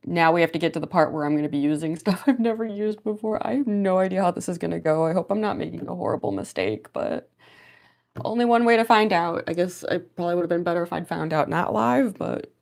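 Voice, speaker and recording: A woman's voice: on the low side, a little mellow. Sniffly young woman chatting on a livestream. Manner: rambling, many fillers, laughs often, reads chat aloud. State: a little stuffy and sniffly, recovering from a cold. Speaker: cosplay livestreamer. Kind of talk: casual livestream chat while doing makeup.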